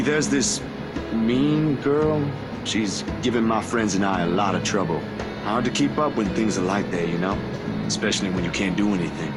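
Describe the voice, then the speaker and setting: A man voicing a troubled young photographer in a visual novel, hesitant, soft-hearted and awkwardly kind, with music behind him. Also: lowish voice, close to an everyday voice. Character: troubled young male photographer, gentle but anxious with children. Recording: loud music behind the dialogue.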